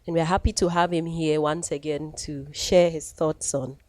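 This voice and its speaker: A woman with a low voice introduces the next speaker at a ceremony. She speaks in a measured, formal way. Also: fairly even intonation, darkish tone, no room sound. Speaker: master of ceremonies.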